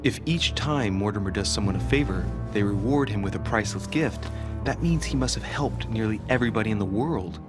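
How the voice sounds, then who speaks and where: A man acting an eager, inquisitive young gentleman investigator in a video game cutscene, with an earnest, teasing, expressive delivery. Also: lowish voice, brisk, over music, lightly acted. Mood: intrigued.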